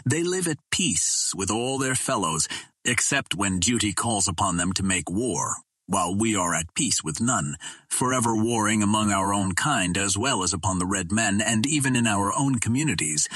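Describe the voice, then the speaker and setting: A man's voice: lowish voice, animated, moderate pace, a bit bright, breathy edge, no room sound. A man voicing a compassionate, outspoken female Martian in an earnest, impassioned and formal storybook manner. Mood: earnest defiance.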